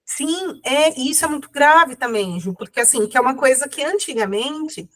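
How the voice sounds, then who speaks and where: A woman's voice: high voice, lively intonation, moderate pace, fairly bright tone. A woman with a cold, speaking casually as an interview guest, rambling with many fillers and self-corrections. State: has a cold.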